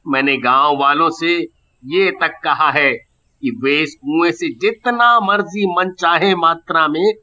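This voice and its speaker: A man's voice: a little high, slow pace, pushed and bright, heavily acted. A man playing a frightened, pleading village merchant in a cartoon moral story, dramatic in delivery. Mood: earnest. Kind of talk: animated Hindi moral story acting. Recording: dull lo-fi audio.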